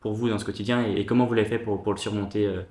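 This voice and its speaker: A man's voice: on the low side, flat delivery, rapid-fire, a little mellow. A man hosting a casual round-table chat, hesitant, with many fillers and restarts. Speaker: host. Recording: echoey room.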